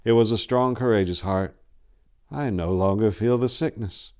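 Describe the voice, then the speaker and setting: A man's voice: on the low side, moderate pace, darkish tone, clean tone, natural voice. Man voicing a sinister, gloating new vampire. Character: ghastly man turned vampire, gloating over his kill.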